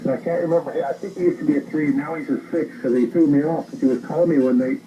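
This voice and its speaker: A man's voice: on the high side, fairly even intonation, fast talker, highs cut off. A Canadian man chatting casually as an amateur radio operator, in a rambling, conversational way, heard over a radio transmission. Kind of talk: casual ham radio contact. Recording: noisy radio transmission captured by phone.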